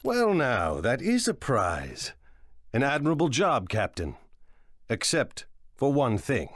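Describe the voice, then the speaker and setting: Ruthless game crime boss, measured and condescending, coolly menacing, close dry sound. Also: male voice, mid-range voice, wildly swooping pitch, dry recording, full character voice. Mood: coolly stern. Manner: smooth, measured, condescending, deliberate. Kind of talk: dramatic video game voice acting.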